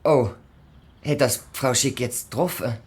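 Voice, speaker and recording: A woman playing a hesitant boy detective with halting speech in a German children's audio drama. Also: a bass voice, darkish tone, breathy edge, exaggerated voice. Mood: dismayed.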